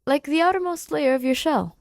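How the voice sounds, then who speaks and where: A woman voicing an eager, friendly human male science teacher, animated and encouraging, in a fan fiction audio reading. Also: sits high, brisk, no room sound, studio quality, pitched above the performer's own voice. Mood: eager, explaining. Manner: animated, encouraging classroom delivery.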